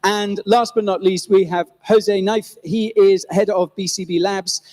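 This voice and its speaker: A man moderates a live panel before an audience, his voice riding high. It rings with the long reverb of a large venue. He introduces guests with loose, self-correcting phrasing. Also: steady tone, a little slow, darkish tone. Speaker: host and moderator.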